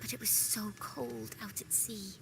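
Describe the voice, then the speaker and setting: A woman's voice: on the high side, fairly even intonation, a little slow, gravelly, whispered, poor audio. A woman voicing a young female performer in a video game, telling her past in a solemn storytelling manner. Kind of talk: game voice acting. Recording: game audio with music behind.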